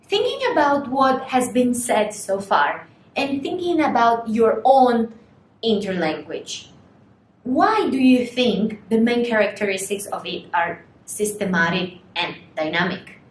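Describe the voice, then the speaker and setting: A young woman whose first language is Portuguese gives a recorded university lecture, explaining slowly and putting rhetorical questions to students. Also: very animated. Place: small studio room in front of a screen. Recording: echoey room, faint rumble.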